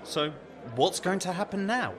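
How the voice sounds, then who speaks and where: A man acting in a radio play as a sceptical, reasonable friend, hesitant and gently challenging. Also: sits high, animated, moderate pace, crowd noise. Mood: doubtful. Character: sceptical, reasonable male friend of young shop girl.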